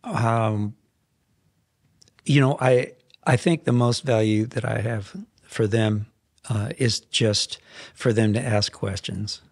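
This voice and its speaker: Gravelly-voiced man telling studio stories. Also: fairly low pitch, slow pace, no room sound. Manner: rambling, animated, restarts often. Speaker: songwriter guest. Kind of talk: casual interview storytelling. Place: podcast studio. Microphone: headphones and boom mic.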